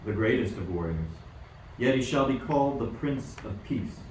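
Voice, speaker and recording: An amateur actor playing a grave, reverent royal astrologer in a radio play, speaking in solemn declarations. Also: male voice, mid-range voice, expressive, moderate pace, darkish tone, lightly acted. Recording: very echoey, distant mic, faint noise.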